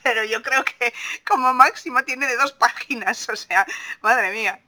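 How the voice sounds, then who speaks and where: A woman's voice: on the high side, moderate pace, sharp tone. A woman with an accent from Spain, hosting a podcast in a casual, informal interview style. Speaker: podcast host.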